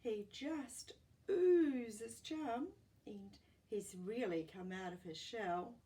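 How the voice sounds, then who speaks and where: A woman reading a picture book aloud to children, expressive and exclamatory, with chatty asides to her young listeners. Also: on the high side, extremely slow, distant mic. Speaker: children's storyteller.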